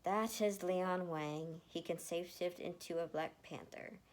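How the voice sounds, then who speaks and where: A woman's voice: fairly low pitch, fairly even intonation, measured pace, close to an everyday voice. A woman voicing a dry, teasing young man, a big brother, in an amateur story reading. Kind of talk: amateur fanfiction read-aloud. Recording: noticeable background noise.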